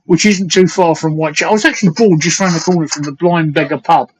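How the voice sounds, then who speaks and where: An older man with a broad Cockney accent hosts a casual livestream, repeating himself for emphasis. His voice sits a little high for a man. A faint background hiss hangs under the recording. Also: steady tone, quick pace. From East End of London. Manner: emphatic, repeats points with "right?". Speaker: livestream host. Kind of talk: casual chat.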